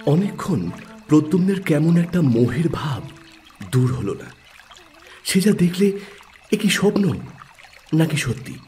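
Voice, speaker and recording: A man narrating a literary story in a measured, expressive, theatrical way. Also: on the high side, faint music behind.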